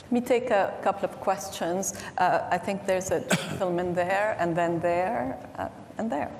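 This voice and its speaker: A woman moderating a panel, briskly organizing audience questions. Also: mid-range voice, a little mellow, a little hiss or hum. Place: large conference hall. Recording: long hall reverb, faint ambience.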